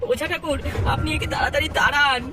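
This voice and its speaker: A man's voice: very high voice, fairly even intonation, a little fast, pitched above the performer's own voice. A man voicing a frightened, pleading village cowherd in a cartoon. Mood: panicked, pleading. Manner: panicky, pleading. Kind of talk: cartoon voice acting. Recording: distant voice under loud music.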